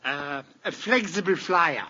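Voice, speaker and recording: On a dull, hissy vintage radio track, a meek, dithering male shopkeeper. His high voice wavers up and down as he stammers and repeats himself in broad comic style. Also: expressive, unhurried. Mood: anxious, insistent. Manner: stammering, repetitive, pleading, nervous giggle. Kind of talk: 1950s American radio comedy acting. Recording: dull, very noisy old radio recording.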